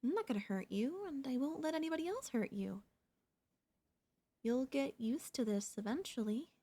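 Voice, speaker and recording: A woman playing an obsessive female pop idol turned kidnapper in an ASMR roleplay, speaking in a soft, coaxing, doting way with menace underneath. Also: high-pitched, expressive, normal speed, breathy edge, full character voice. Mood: soothing, possessive.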